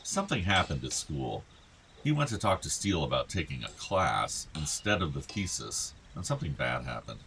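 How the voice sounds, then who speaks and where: A man's voice: extremely low pitch, very animated, moderate pace, creaky, fairly bright tone, a little hiss or hum, full character voice, poor audio. A male actor playing a fond, outdoorsy middle-aged father of two grown sons in a naturalistic radio play. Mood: quietly concerned. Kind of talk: naturalistic radio drama dialogue.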